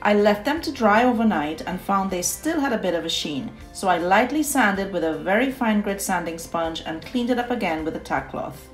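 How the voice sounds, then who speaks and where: A woman from Trinidad and Tobago with a Trinidadian accent, calmly narrating her own DIY home decor project in a scripted voice-over. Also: medium pitch, normal speed, hardly any pauses, faint music behind. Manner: calm, personal and explanatory.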